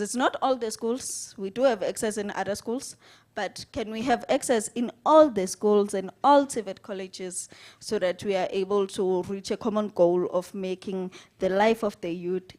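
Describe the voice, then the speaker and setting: A woman's voice: mid-range voice, a little mellow. A woman making an earnest, direct appeal from the floor at a conference. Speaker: conference delegate.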